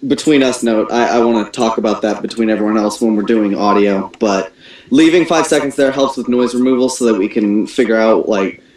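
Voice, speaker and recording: A man casually explaining audio matters on a group call, halting and repeating words as he goes. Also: fairly low pitch, darkish tone. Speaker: audio technician advising a voice cast. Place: echoey room, on a voice call. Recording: echoey room over internet call.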